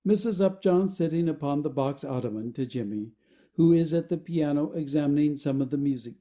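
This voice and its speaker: A man's voice: on the high side, fairly quick, dark tone. A man reading stage directions as the narrator of a scripted play.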